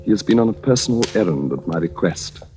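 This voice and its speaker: A man's voice: sits low, lively intonation, fast talker. An actor playing a deluded asylum patient who believes he is royalty, speaking in a pompous, formal, theatrical way in a vintage radio drama. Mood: grandiose. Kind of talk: 1940s radio drama acting. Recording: vintage radio recording, faint music.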